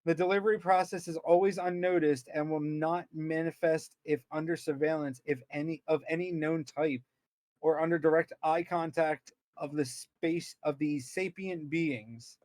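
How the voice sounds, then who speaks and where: Higher-pitched man reading aloud haltingly. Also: steady tone, darkish tone, no room sound. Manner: halting reader, stumbles and repeats words. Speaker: podcast co-host. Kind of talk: reading aloud with casual commentary.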